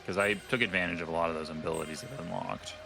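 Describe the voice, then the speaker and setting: A man's voice: deep voice, fast talker, creaky, over music. A man co-hosting a video game let's play with casual commentary. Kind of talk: casual gameplay commentary chat.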